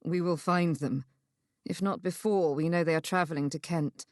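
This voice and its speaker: A woman voicing a gentle, resolute gentleman in a Regency romance audiobook, soft-spoken and measured. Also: fairly low pitch, fairly even intonation, no room sound, studio quality, pitched below the performer's own voice, natural voice. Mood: gentle, reassuring.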